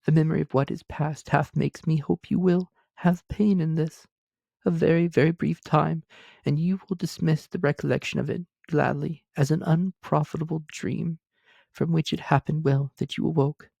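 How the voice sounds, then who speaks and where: Male reader as gentle young woman, raised pitch, soft, earnest, grieving, measured, storybook heroine, close dry sound. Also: steady tone, creaky, breathy edge, dry recording. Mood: earnest, heartbroken. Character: gentle, sorrowful young woman releasing her betrothed.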